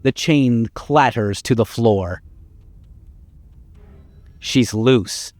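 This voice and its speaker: A man's voice: medium pitch, drawn-out words, darkish tone, no room sound. An actor playing a stern, exasperated game narrator, sardonic and scolding as he tells the story theatrically. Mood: grim narrating. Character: stern, exasperated male narrator guiding the hero.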